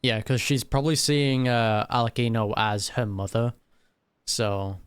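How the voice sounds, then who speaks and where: A man's voice: mid-range voice, steady tone, unhurried, clear, resonant tone. A man giving casual, musing commentary as he reacts to a game, speaking informally. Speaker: gaming reaction YouTuber.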